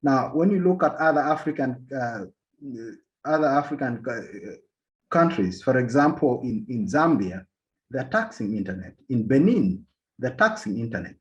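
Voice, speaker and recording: A man from Uganda giving an academic policy talk as a panel speaker, halting often and repeating words as he restarts his sentences. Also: medium pitch, lively intonation. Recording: dull lo-fi sound.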